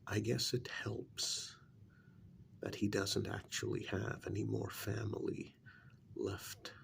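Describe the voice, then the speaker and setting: Man playing a vampire court confidant, soft ASMR role-play, heavy vocal fry, lowish pitch, whispery dips, measured and hesitant. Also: completely flat delivery, exaggerated voice. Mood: pensive, reassuring. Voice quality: soft, breathy voice dropping into whispers. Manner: hesitant, measured, gently reasoning.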